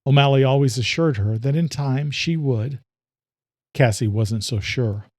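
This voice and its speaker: A man's voice: medium pitch, measured pace, a little mellow, no room sound. A man narrating scripted horror-thriller fiction as a storyteller, close on the mic.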